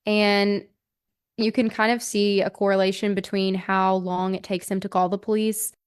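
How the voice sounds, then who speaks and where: A woman's voice: mid-range voice, little pitch movement, moderate pace, clear, resonant tone. A woman hosting a true-crime podcast, telling the story in a casual, conversational way peppered with "like". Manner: informal, hedging, filler-heavy with "like".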